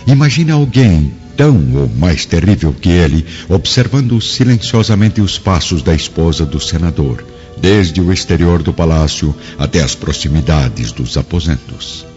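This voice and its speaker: Deep, expressive Brazilian male narrator. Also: moderate pace. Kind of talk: dramatic scripted radio drama narration. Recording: dull lo-fi audio with music.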